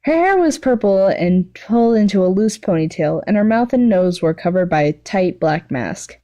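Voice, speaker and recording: A woman's voice: mid-range voice, lively intonation, normal speed, dark tone. A woman narrating fan fiction in a casual, amateur reading style, stumbling now and then and correcting herself.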